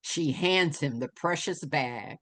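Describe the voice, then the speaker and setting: A woman's voice: sits low, slow, fairly bright tone. A woman reading scene headings and action from a screenplay as dramatic scripted narration. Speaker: stage directions reader.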